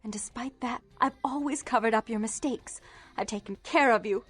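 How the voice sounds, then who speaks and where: An actress playing a stern, controlling vampire sister in a video game, prim and wounded, with formal, clipped, accusatory delivery. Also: female voice, fairly high pitch, moderate pace, slightly rough. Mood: indignant. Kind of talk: dramatic video game voice acting.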